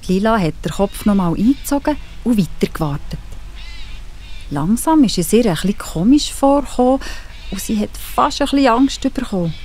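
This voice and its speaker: A woman's voice: a little high, expressive, moderate pace, a little mellow, a little hiss or hum. A woman with a Swiss accent narrating a scripted children's story.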